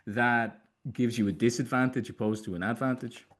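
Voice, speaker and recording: A man's voice: mid-range voice, steady tone, moderate pace. A young Irish man hosting a casual interview in an informal, loosely hesitant way. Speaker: podcast host.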